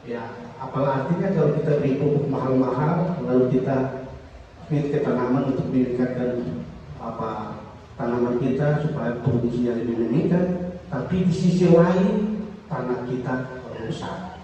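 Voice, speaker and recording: A man giving a formal speech, measured and reflective, sometimes pausing to search for words. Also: medium pitch, warm tone. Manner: measured, reflective, pauses with "apa". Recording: echoey, distant, dull with noise.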